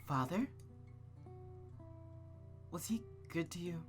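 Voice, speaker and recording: A man's voice: a little high, animated, slow, pitched above the performer's own voice. A man plays an obedient young girl from a visual novel. The delivery is meek, tentative and hesitant, with faint music behind it. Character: timid, obedient young daughter of strict mother.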